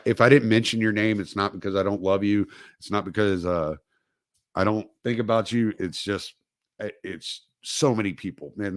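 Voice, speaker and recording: A man hosting a casual livestream, talking in a halting, rambling way with many fillers and restarts. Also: sits low, no echo, clean studio sound. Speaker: podcast host.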